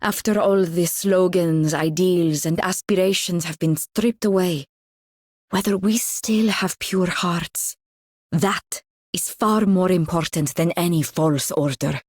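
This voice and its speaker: Slow, low, principled game woman. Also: a little mellow, dry recording, clean studio sound. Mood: solemn, earnest. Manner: formal, earnest. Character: principled, austere woman giving careful counsel. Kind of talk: video game character voice line.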